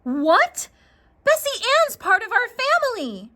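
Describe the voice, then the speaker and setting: A woman acts out a young storybook farm girl, high and bright in voice. She is earnest, anxious and hesitant, with a plaintive lilt that jumps around. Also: wildly swooping pitch, slow pace, exaggerated voice. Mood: alarmed.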